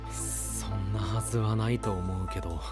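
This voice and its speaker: A man's voice: on the low side, fairly even intonation, moderate pace, music in the background, close to an everyday voice. A man playing a friendly, supportive young knight with an easygoing, casual way of talking in an anime voice drama. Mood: curious, teasing.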